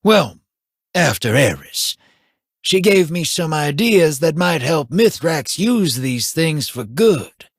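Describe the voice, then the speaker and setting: An actor playing a wry, streetwise male veteran in a video game, teasing and casual with a husky drawl. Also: fairly high pitch, very animated, slow pace, dry recording, studio quality, full character voice.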